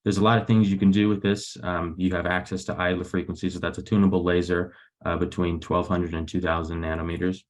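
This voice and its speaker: Young male researcher, very low gravelly register, explaining technical slides on a webinar. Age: young adult. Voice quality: low, creaky voice. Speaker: graduate student researcher presenting. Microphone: video call.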